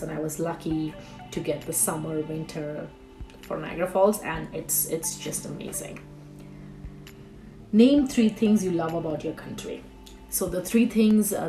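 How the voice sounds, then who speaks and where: A woman in her thirties from Bengal, whose first language is Bengali, talks through her vlog questions in a relaxed, chatty way. She pauses often on fillers and restarts her sentences. Her voice sits a little low, with faint music playing behind her. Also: poor audio. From India, Bengal. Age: 30s. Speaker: YouTuber. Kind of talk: casual tag video vlog.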